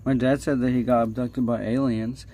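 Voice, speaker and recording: A man hosts a livestream in a casual storytelling style, with a middling pitch. He rambles along haltingly, doubling back on his words. His delivery is playfully dramatic, with drawn-out phrases and hushed asides. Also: little pitch movement, rough recording. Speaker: livestream host.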